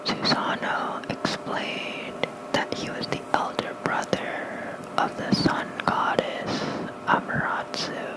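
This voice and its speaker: ASMR bedtime narrator: pure soft whisper, slow gentle pacing, breathy, close-miked. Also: rough recording. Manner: gentle, unhurried, murmuring small asides. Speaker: host of an ASMR channel. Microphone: close mic with fluffy cover.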